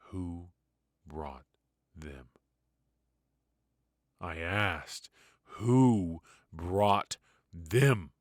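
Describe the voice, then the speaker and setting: A man playing a ruthless but protective mafia boss in an intimate noir roleplay, murmuring close to the mic with hesitant pauses and quiet menace. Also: deep voice, no room sound. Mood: suspicious, menacing. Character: brilliant, ruthless male mafia boss, possessive yet protective. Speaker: voice actor. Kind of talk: noir ASMR roleplay, intimate dramatic monologue. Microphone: close studio mic, dry.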